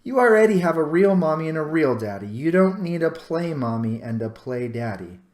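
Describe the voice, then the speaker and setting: A man's voice: fairly high pitch, very animated, normal speed, darkish tone, reverberant. A man reading a children's storybook, voicing a kind but firm, plain-spoken farm mother. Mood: firm, exasperated.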